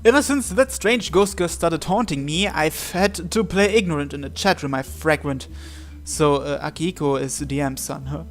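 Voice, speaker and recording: Higher-pitched man reading a visual novel aloud. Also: normal speed, hardly any pauses. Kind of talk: dramatic read-aloud with asides. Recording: music behind the voice. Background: game music.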